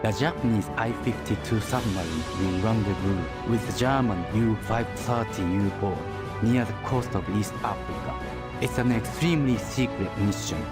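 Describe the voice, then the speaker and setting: Guy doing a deep-ish solemn military briefing for a war game, measured, big music behind. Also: close to an everyday voice. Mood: solemn, authoritative. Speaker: campaign briefing narrator. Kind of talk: scripted game briefing narration. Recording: loud music under the voice.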